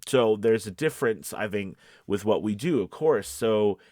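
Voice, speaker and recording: Low-voiced man coaching informally. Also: expressive, moderate pace, warm tone, no echo, clean studio sound. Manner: conversational, explanatory, often checking with "right?" and "okay?". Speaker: voice-over coach and video host. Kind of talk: informal instructional talk to camera.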